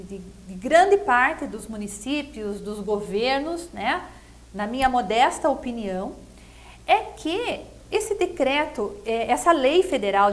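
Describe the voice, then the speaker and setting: A Brazilian woman with a distinctly high voice speaks as a guest commentator. She explains things earnestly and conversationally, often ending a point by seeking agreement. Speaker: interview guest, political commentator.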